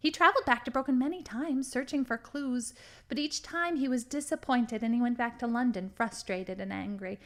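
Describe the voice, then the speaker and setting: A woman's voice: fairly high pitch, close to an everyday voice. A woman playing a scheming, smugly menacing female villain in an audio drama, with theatrical, dramatic delivery. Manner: theatrical, gloating storytelling with hushed dramatic emphasis.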